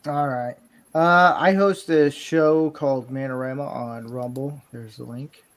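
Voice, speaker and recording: Man, livestream co-host, joking chat, slightly gravelly tone. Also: medium pitch, steady tone, a little slow, a bit bright. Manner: jokey, puts on funny voices for pets. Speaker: show host.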